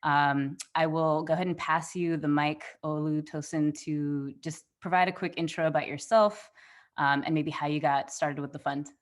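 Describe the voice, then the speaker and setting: Low-voiced woman hosting an interview. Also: fairly even intonation, normal speed. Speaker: host. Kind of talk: webinar interview.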